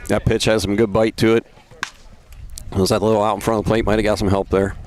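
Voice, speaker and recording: Fast-talking male baseball color commentator. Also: on the low side, level tone, darkish tone. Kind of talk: casual sports analysis commentary. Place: outdoor ballpark broadcast.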